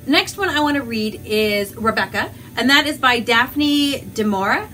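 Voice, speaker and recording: A woman chatting casually as a book vlogger, animated and rambling, with self-deprecating asides. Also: on the high side, moderate pace, a little hiss or hum. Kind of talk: casual chatty vlog to camera.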